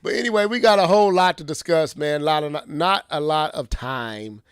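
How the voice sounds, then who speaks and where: A man hosting a casual gaming news stream, sounding stuffy and scratchy from congestion, slangy and quick to laugh. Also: a little high, clear, resonant tone, dry recording, clean studio sound. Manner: animated, slangy, laughs often. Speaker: stream host. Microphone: studio earphones with mic.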